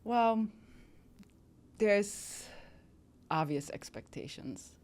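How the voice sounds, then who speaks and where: A woman's voice: mid-range voice, expressive, extremely slow, darkish tone. A woman in her fifties from Germany, a model talking as a podcast guest in a reflective, hesitant way with many fillers. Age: 50s. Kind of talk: casual interview talk.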